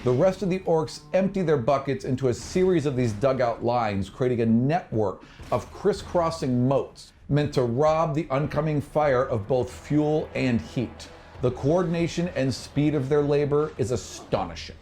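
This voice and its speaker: A man narrating a tabletop game as game master, with vivid, drawn-out descriptive delivery. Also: mid-range voice, warm tone. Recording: noisy, with ambient sound behind.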